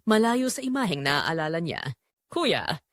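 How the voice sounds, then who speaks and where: A woman's voice: mid-range voice, very animated, moderate pace, no echo, studio quality. A woman narrating a scripted dramatic story.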